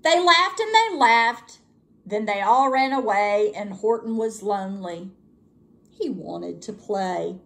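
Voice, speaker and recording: Slow, very animated woman reading a storybook. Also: mid-range voice. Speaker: elementary school teacher reading aloud. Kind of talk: expressive children's storybook narration.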